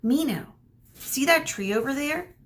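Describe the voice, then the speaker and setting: A woman's voice: high-pitched, animated, a little slow, voice pushed up. Woman doing a firm, determined young girl. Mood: scheming. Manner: shouting, firm.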